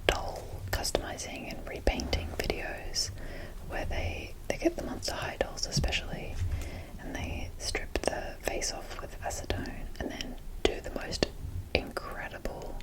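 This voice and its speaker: A woman doing casual, unscripted ASMR in a breathy whisper, chatting in a rambling, gushing way close to the mic. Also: measured pace, poor audio. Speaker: ASMR video creator.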